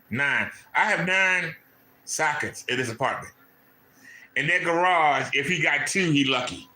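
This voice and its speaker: A man from Maryland rants incredulously about someone in a video, repeating his points and firing off mocking questions. His voice sits a little high and sounds bright and forceful. The room around him is echoey. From Maryland, USA. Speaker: commentary channel host. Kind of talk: ranting commentary on a clip. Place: home room.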